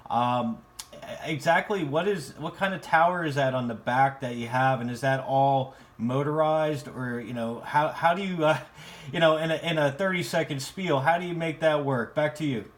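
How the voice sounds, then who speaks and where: An American man chatting casually as an amateur radio operator, with halting, repeated phrasing. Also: fairly high pitch. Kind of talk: casual ham radio conversation.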